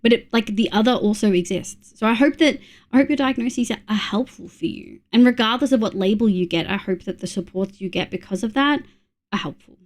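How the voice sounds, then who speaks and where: A young woman with a slightly high voice talks casually with her viewers, thinking aloud with little fillers. She sounds a bit congested from a cold. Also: brisk, darkish tone. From Australia. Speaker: streamer. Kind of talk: casual chat reading viewer messages.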